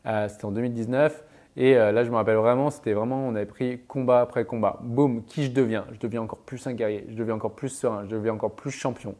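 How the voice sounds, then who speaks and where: A man's voice: mid-range voice, steady tone, fast, darkish tone. A man speaking as a mental performance coach, giving advice and anecdotes emphatically, with punchy little interjections that punctuate his points. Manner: emphatic, punctuates speech with sound-effect interjections.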